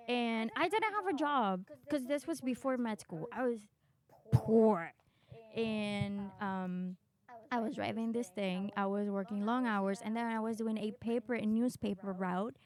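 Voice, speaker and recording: A woman from Chile telling personal stories casually as a podcast guest, in an animated, candid, self-mocking way. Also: mid-range voice. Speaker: podcast guest, doctor in training.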